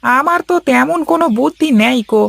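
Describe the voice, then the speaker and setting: Casting: male actor for a folksy animated servant, high voice with lots of gravel, cautious and deferential. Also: fairly quick, no echo, exaggerated voice. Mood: worried, deferential. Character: deferential, cautious village farmhand, a man. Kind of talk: cartoon dialogue acting.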